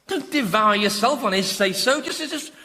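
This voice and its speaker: Radio comedy: appalled decent damned soul, sharp high voice, pleading, reverberant. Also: male voice, lively intonation, normal speed. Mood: appalled, exasperated. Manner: exasperated, urgent pleading. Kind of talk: radio comedy drama acting. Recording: reverberant hall, crowd and faint noise.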